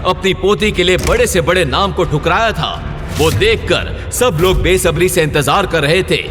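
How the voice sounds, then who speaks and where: Audio drama casting: man as dramatic narrator, heavily reverberant voice over clear background music. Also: high voice, normal speed, close to an everyday voice. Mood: suspenseful. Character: dramatic storyteller narrating family intrigue.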